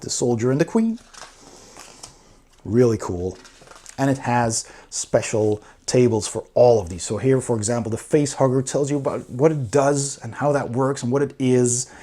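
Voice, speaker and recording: Male reviewer, mid pitch, slightly husky; casual, enthusiastic commentary. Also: mellow. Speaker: board game reviewer. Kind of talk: casual review commentary, walking through a book.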